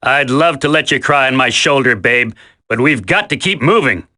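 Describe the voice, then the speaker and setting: Cocky, forceful-voiced commando. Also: male voice, mid-range voice, animated, moderate pace. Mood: flippant. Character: cocky, wisecracking male commando, flippant and tough. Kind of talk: dramatic video game voice acting.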